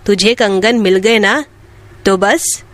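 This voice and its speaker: A woman's voice: mid-range voice, slow, fairly bright tone, no echo, voice pushed down. A woman voicing a poor, kind-hearted and pious old mother in a children's moral story. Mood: brusque.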